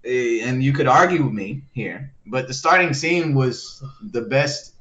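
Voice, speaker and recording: A man co-hosting a casual podcast, talking loudly and rambling with a lot of laughter. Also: medium pitch, animated, unhurried. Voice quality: loud voice. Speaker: podcast co-host. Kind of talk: casual chat. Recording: dull lo-fi sound, faint noise.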